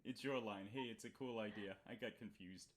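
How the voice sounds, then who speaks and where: A woman informally reading a chat log out loud, play-acting the lines with mock sweetness. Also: a bass voice, fairly quick. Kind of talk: informal read-aloud of a chat log with asides.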